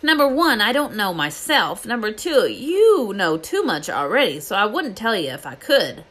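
A woman voicing a big, bearded, friendly gamekeeper man, proud and easily flattered, with rustic, blustering speech in a storybook reading. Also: medium pitch, moderate pace, voice pushed up. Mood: gruff refusal.

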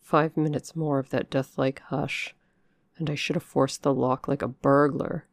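Woman reading as a brooding, passionate gentleman. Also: a bass voice, fairly even intonation, a little slow, dry recording, studio quality.

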